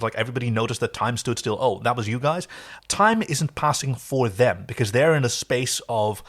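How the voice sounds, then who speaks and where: A man's voice: medium pitch, a bit bright. A man co-hosting a casual TV recap podcast, talking in a wry, joking and discursive way. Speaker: podcast co-host. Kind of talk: casual TV episode recap chat.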